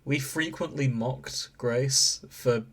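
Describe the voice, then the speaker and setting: A man's voice: medium pitch, slow pace, slightly rough. A man with a British accent hosting a podcast in a casual, joking style. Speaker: podcast host. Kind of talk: casual comic banter.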